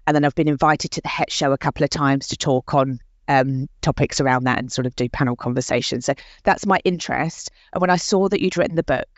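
A woman's voice: a bass voice, no room sound, muffled recording. A woman hosting a podcast interview, talking in a chatty, enthusiastic way with many fillers. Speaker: podcast host.

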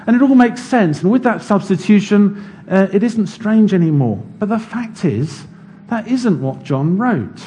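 A man delivers a sermon in a measured, explanatory way, walking his listeners through scripture step by step. His voice sits a little high for a man, with a warm, mellow tone. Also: lively intonation. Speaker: preacher. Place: church.

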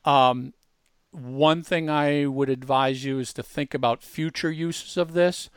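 A man hosting a casual software walkthrough, explaining informally and speaking straight to the listener. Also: medium pitch, fairly even intonation, measured pace, smooth, no echo, clean studio sound. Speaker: host demonstrating software.

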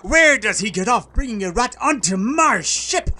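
A man's voice: very high voice, lively intonation, moderate pace, sharp tone, exaggerated voice. A man playing a blustering cartoon pirate captain. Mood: pompous. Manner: pompous, pirate slang. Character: blustering male pirate ship captain, short-tempered.

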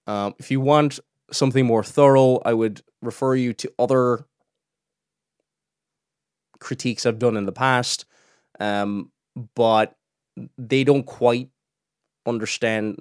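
A man's voice: medium pitch, clean tone, no room sound, clean studio sound. A young man from Cork, hosting a podcast and giving chatty commentary with frequent restarts. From Cork, Ireland. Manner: chatty, restarts often, laughs in asides. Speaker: podcast host. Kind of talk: casual commentary.

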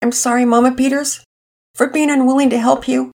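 Woman doing a sorry young boy, higher voice. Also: steady tone, normal speed, darkish tone, husky. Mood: contrite. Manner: apologetic, heartfelt. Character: remorseful young boy, earnest and contrite.

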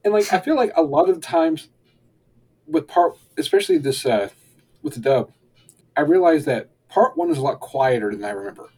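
A young man co-hosting a podcast, reviewing an episode in relaxed, rambling casual chat and chuckling often. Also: fairly high pitch, normal speed, breathy edge, rough recording. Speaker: podcast co-host.

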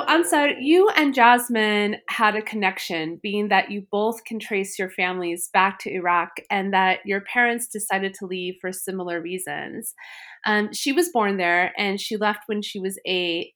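A woman hosting a podcast interview, talking in an expressive, effusive way. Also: medium pitch, measured pace. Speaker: podcast host.

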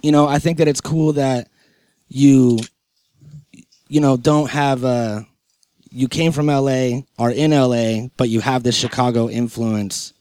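A man's voice: mid-range voice, fairly even intonation, a little slow, mellow, clear, resonant tone, no echo. A man hosting a podcast, chatting in a rambling, slangy and enthusiastic way. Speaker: podcast host. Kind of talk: casual podcast interview chat.

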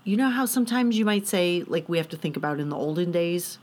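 A woman's voice: fairly low pitch, expressive, quick pace, darkish tone. A woman co-hosting a casual political podcast, talking in a rambling way with lots of "like.". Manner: rambling, many "like"s. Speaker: podcast co-host.

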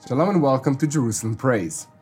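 A man's voice: mid-range voice, lively intonation, quick pace, music in the background. A man hosting a faith podcast, asking friendly questions with frequent fillers. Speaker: podcast host. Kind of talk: casual interview hosting.

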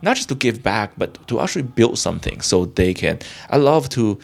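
A young man from China, a guest in an interview, talking in a halting way with many restarts and fillers. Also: lowish voice, sing-song, vocal fry, darkish tone, slightly rough. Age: young adult. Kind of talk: casual interview talk.

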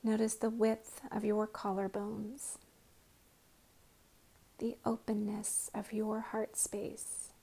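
Soft-voiced woman leading a prayerful body scan, breathy and slow, half whispering. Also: mid-range voice, monotone. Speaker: guided meditation teacher.

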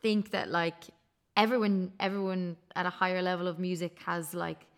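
A woman's voice: fairly low pitch, level tone, normal speed. A woman co-hosting a casual podcast interview, chatty and enthusiastic, with a frequent "like" in her speech. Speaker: podcast co-host.

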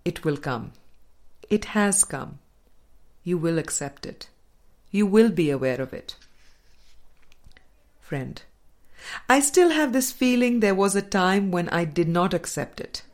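Slow, low-toned woman reading aloud. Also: animated. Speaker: audiobook reader. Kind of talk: measured reading of a spiritual dialogue book.

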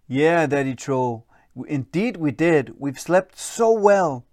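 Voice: mid-range voice, lively intonation, slow, a little mellow, dry recording, clean studio sound. A storyteller voicing a curious, eager young troll boy in a children's bedtime story, full of wonder.

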